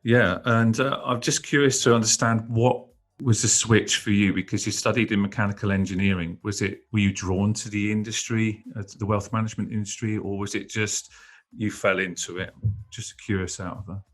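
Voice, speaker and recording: Deep-voiced male podcast host. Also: fairly even intonation. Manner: measured, brief backchannels. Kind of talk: scripted intro then interview.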